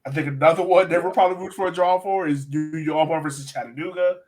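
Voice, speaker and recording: A man's voice: a little high, fairly even intonation, quick pace. A man hosting a soccer podcast, talking in a casual, conversational sports-chat style.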